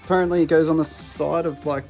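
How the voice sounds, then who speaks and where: A man's voice: a little high, steady tone, fast talker, gravelly, a little mellow, soft background music. A man in his thirties with an Australian accent, casually talking through a do-it-yourself car radio install in an offhand, self-deprecating way. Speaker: electrician vlogger. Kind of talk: casual DIY vlog.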